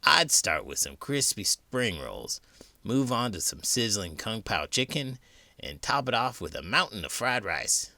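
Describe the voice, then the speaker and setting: A ravenous tiger sidekick, played by a man, gruff and food-obsessed. He drawls slowly in a low voice. It is comic children's show banter with music behind. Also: sing-song, pushed and bright, no room sound, full character voice. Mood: hungry, eager.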